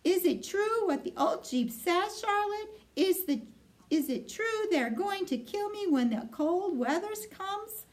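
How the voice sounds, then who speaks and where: A frightened young storybook piglet, acted out by a woman reading aloud, naive and melodramatic. He pleads and wails in a very high voice that swings dramatically up and down. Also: animated, a little slow. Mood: terrified. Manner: screaming, wailing, pleading.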